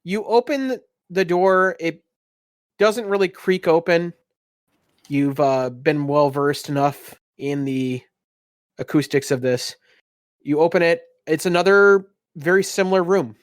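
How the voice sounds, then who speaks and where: A man's voice: a little high, a little slow, dry recording, studio quality. A man working as game master, improvising casual narration for a tabletop game.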